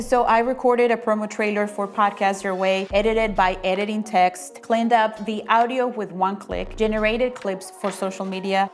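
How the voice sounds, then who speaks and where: Upbeat Colombian woman reviewing a tool. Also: mid-range voice. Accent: Colombian Spanish. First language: Spanish. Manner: upbeat, enthusiastic, conversational. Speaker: content creator reviewing a tool. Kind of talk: sponsored tool review narration.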